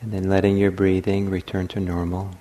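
A man leading a guided meditation as a teacher, speaking gently and unhurriedly in short phrases with long pauses. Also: deep voice, monotone, a little hiss or hum.

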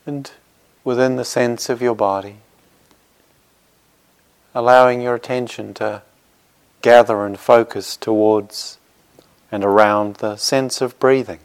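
A man leading a guided meditation as a teacher, speaking gently and reassuringly in short phrases with unhurried pauses. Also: medium pitch, fairly even intonation, smooth.

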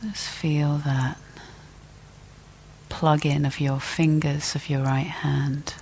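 A woman teacher leading a guided meditation in a gentle, deliberate way, leaving long pauses between phrases. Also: low-pitched, little pitch movement, a little hiss or hum, low-quality recording.

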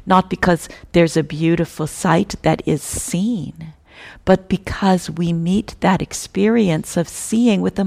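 A woman teaching a guided meditation in a measured, gentle manner, with long pauses between phrases. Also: fairly low pitch, creaky.

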